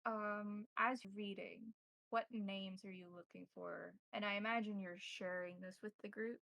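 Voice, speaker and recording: A woman speaking as game master in measured, formal phrases, improvising roleplay and narration. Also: mid-range voice, steady tone, gravelly, bright, forceful tone, no echo, rough recording.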